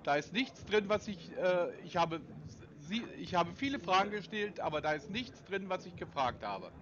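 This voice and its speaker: A man's voice: high voice, big pitch swings, moderate pace, crowd noise. A man making a phone call, pressing the same questions again and again in a persistent, insistent way. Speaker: scam baiter posing as a caller. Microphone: phone call recording. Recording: muffled phone call recording.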